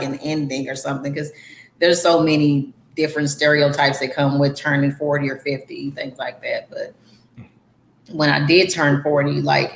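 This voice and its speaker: A woman's voice: deep voice, reverberant, slight background noise. A woman in her forties, a guest in a casual chat, speaking candidly and plainly about her life. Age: 40s.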